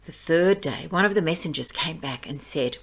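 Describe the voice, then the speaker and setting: A woman reading a fairy tale aloud as storyteller, in expressive scripted narration. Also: deep voice, moderate pace, husky.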